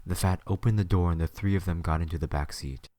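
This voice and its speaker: A man's voice: deep voice, fast talker, warm tone, dry recording. A man narrating a scripted story for an audio drama.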